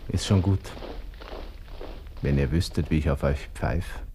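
An actor playing a melancholy, cynical young Austrian army lieutenant with a Viennese accent, murmuring wryly to himself in a radio play monologue. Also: male voice, extremely low pitch, unhurried, mellow. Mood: wry, resigned. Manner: musing to himself, murmured, wry. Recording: hissy old radio play recording.

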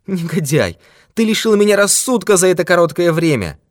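A man's voice: high-pitched, big pitch swings, a little fast, no room sound, studio quality, voice pushed up. A man voicing a terrified old miser who wails and pleads in a strained, raised voice. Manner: wailing, pleading.

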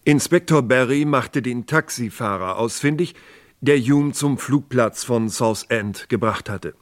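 A man narrating a scripted German radio crime drama in a measured, factual manner. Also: mid-range voice.

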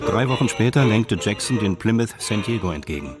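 A man's voice: on the low side, animated, brisk, over music. A man reading scripted narration as the narrator of a radio drama.

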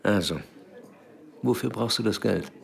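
Low-voiced, agitated sceptic. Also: male voice, a little slow, gravelly, breathy edge, full character voice. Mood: probing. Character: sceptical, agitated male friend interrogating a soldier. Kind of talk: German radio drama dialogue. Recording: music behind the voice.

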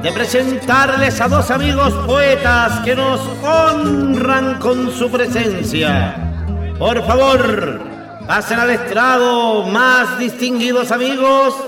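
A man's voice: very high voice, slow. A man with a Chilean accent playing a florid, ceremonious 1920s master of ceremonies in a radio play, announcing grandly. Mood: grandiose. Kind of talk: Spanish-language radio theatre. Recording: echoey, far-off, loud music and crowd.

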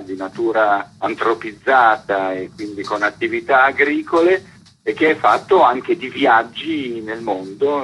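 A man's voice: mid-range voice, fairly bright tone, husky, rough recording. A middle-aged or older man from Italy explaining his work at length as an interview guest. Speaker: theatre maker, interview guest.

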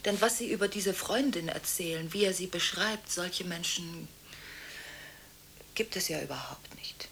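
An actress playing a restless, contradictory woman on the phone late at night in a radio drama, halting and anxious, shifting between hushed and insistent. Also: fairly low pitch, creaky, a little hiss or hum. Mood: quiet, probing. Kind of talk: radio monodrama acting, one side of phone conversations.

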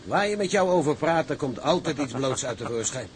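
An actor playing a blunt, sceptical and practical ship's officer in a seafaring audio drama, with a dry, doubting manner. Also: male voice, a little high, expressive, a little fast, a little hiss or hum, lightly acted. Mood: sceptical, wry. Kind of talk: Dutch audio drama acting.

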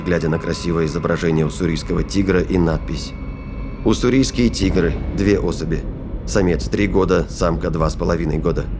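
A man reading scripted science fiction narration as the narrator of an audio drama. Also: sits low.

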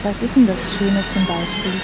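A woman's voice: mid-range voice, wildly swooping pitch, normal speed, heavy background noise. A woman chatting spontaneously and playfully with passers-by, coaxing them along. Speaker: reporter playing a wish-granting fairy in street encounters.

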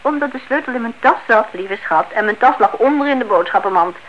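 Woman playing brisk young woman, high pitch, gently bossy, polite, dull radio drama sound. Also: expressive, natural voice. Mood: gently scolding. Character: brisk, caring, sociable working niece. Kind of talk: radio play acting. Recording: dull, noisy old radio drama recording.

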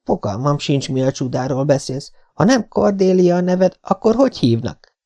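A man reading an audiobook voices a stern, brisk middle-aged spinster with a sharp, scolding manner. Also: fairly high pitch, lively intonation.

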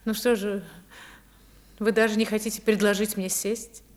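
A woman's voice: medium pitch, little pitch movement, normal speed, close to an everyday voice. An actress playing an anxious, devoted society wife and mother in a radio play, earnest and theatrical. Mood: tender, composed.

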